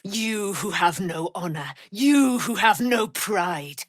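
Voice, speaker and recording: Proud immortal warrior knight, slow and regal. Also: female voice, medium pitch, lively intonation, dry recording, clean studio sound. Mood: stern reproach. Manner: grand, archaic, declamatory. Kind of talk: theatrical fantasy game voice acting.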